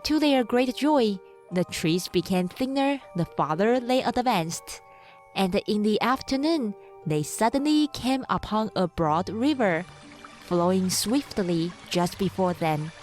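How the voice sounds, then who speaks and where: A woman reads a children's audiobook at an unhurried, measured pace. Her pitch is medium, and she tells the tale as scripted narration. Music plays softly behind her. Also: lively intonation.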